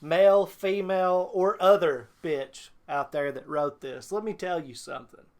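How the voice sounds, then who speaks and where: A man's voice: fairly high pitch, normal speed. A man hosting a reaction video, talking casually with profanity and exasperated hyperbole.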